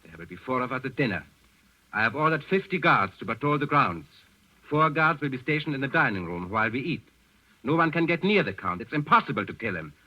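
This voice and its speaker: An actor playing a suspicious, coldly formal Nazi police chief in a 1940s radio drama, with a clipped, commanding manner. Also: male voice, medium pitch, very animated, brisk, pushed and bright. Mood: calculating. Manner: clipped, formal, barking at subordinates. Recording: dull vintage radio recording.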